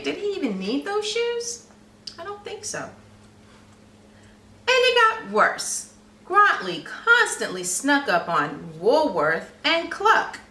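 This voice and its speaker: A woman reading a children's storybook aloud in a teacherly, expressive way, pausing to question and explain. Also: very high-pitched, slight background noise. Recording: roomy, echoey, slightly distant microphone.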